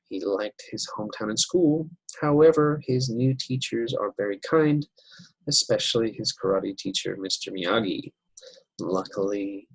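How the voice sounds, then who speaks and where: Guy teaching english writing over slides, gravelly husky voice, slow and steady, dry close sound. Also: on the low side, dry recording, low-quality recording. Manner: unhurried, explains step by step with many uh fillers. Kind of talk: recorded slideshow lesson.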